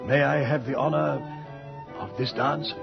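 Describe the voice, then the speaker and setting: Courtly military colonel: creaky voice, dignified, muffled vintage radio sound under loud music. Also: male voice, medium pitch, fairly even intonation, unhurried. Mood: gallant. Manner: formal, courtly. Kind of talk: old-time radio drama acting. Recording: dull old radio recording, loud music.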